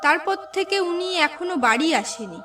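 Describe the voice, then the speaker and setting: A woman's voice: extremely high pitch, very animated, moderate pace, sharp tone, full character voice. A woman voicing an anxious, plaintive village wife in a cartoon. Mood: worried. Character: worried village wife, anxious sister-in-law. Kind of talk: cartoon voice acting. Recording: slightly distant, music behind.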